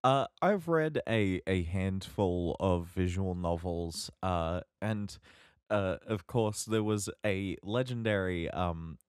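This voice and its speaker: Low-voiced, slow-talking man on a podcast. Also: clean tone, no echo, studio quality. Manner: halting, wordy, measured. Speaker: podcast co-host. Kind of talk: casual podcast chat.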